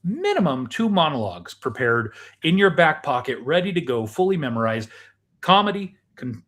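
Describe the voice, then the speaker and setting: Male co-host and producer type, moderately high pitch, emphatic and instructive, conversational delivery. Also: sing-song, normal speed, a bit bright. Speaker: podcast co-host, audio drama producer and director. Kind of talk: conversational advice.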